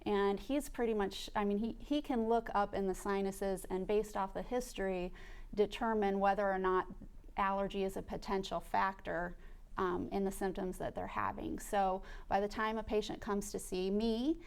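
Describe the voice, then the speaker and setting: A woman's voice: medium pitch, fairly even intonation, normal speed. A woman who runs an allergy department, explaining methodically as a guest in a radio studio. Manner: clear, methodical, lists things step by step.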